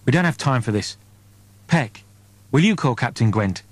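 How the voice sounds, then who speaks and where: A man's voice: medium pitch, lively intonation, moderate pace, husky, no echo. A man voicing a bitter, exasperated spaceship crewman in an audiobook, with crude, sardonic delivery. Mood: scathing urgency. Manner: scathing, sardonic.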